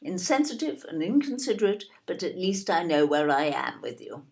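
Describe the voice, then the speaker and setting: An imperious, snobbish older British woman in an audio drama. Her low-ish voice swoops widely as she fusses and disparages in genteel phrases. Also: very animated, moderate pace, vocal fry, darkish tone. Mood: disdainful. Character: imperious, snobbish, self-absorbed older wife and mother. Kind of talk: audio play acting.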